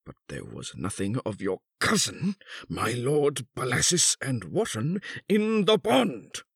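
Bitter old knight: declamatory, slow, huge pitch swings, storybook melodrama. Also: male voice, sing-song, vocal fry, fairly bright tone, breathy edge, no room sound, studio quality, exaggerated voice. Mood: bitter, accusing. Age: 60s. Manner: high-strung, bitter, declamatory. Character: furious, vindictive old English knight and wronged husband. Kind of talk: dramatic audiobook character reading.

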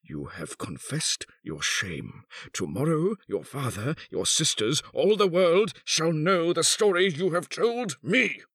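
Bitter old knight: declamatory, slow, huge pitch swings, storybook melodrama. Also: male voice, very animated, a bit bright, breathy edge, no echo, studio quality, exaggerated voice. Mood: scornful, vengeful. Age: 60s. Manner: high-strung, bitter, declamatory. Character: furious, vindictive old English knight and wronged husband. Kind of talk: dramatic audiobook character reading.

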